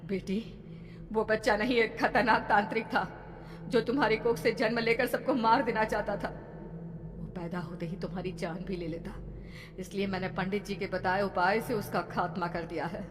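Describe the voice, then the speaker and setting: A woman's voice: mid-range voice, steady tone, normal speed, lightly acted. Woman acting an earnest, frightened, brave aunt. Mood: relieved, consoling. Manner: earnest, emotional. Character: brave, frightened middle-aged aunt in horror tale. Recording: long reverb, background noise.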